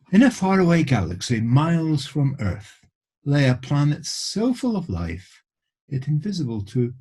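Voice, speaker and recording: Slow, husky man reading a story. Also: mid-range voice, mellow. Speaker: reader of children's stories. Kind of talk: storybook reading with informal introduction.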